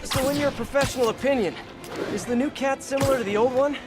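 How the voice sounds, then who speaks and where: A man's voice: very high voice, fast, over loud music. An actor playing a wisecracking, eager young American male superhero in a video game, with a casual delivery. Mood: breezy, curious. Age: young adult.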